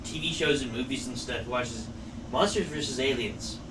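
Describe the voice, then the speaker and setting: A man's voice: mid-range voice, moderate pace, crowd noise. A young man co-hosting a casual podcast, teasing his partner with dry humor and frequent laughter. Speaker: podcast co-host. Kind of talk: casual chat. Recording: distant mic with steady air-conditioning hum.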